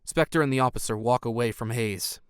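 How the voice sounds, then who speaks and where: A man's voice: mid-range voice, moderate pace, smooth, dry recording, studio quality. A man reading scripted narration as the narrator of an audio drama.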